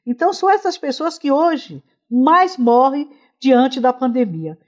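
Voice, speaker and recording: A woman from Northeast Brazil hosts her channel and interviews a guest. Her fairly high voice moves in lively swings, though she often stops and restarts her questions. The sound is dull and lo-fi. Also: a little slow. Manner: hesitant, with many fillers and restarts. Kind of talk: video interview hosting.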